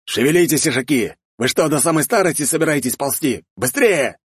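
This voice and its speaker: A man's voice: medium pitch, brisk, a little mellow, no room sound, clean studio sound, pitched above the performer's own voice, lightly acted. A man voicing a stern, protective middle-aged count with an authoritative manner in a fantasy audiobook. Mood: furious, commanding. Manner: authoritative, commanding.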